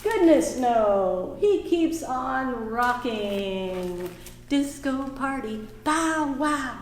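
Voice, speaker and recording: A woman reading a picture book aloud to small children at a library storytime, singing the refrains. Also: sits high. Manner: slow, singsong, animated for small children. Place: library room. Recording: echoey room, faint background noise.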